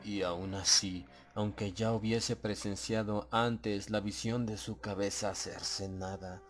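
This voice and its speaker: A man narrating a scripted horror audiobook in a grave, measured, suspenseful way. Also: lowish voice, level tone, faint music behind.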